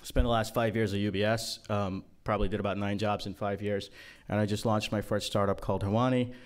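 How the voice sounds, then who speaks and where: A man's voice: lowish voice, flat delivery, fairly quick. A man from Guyana giving a casual, joking talk to a conference room, with many ums and uhs. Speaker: conference speaker.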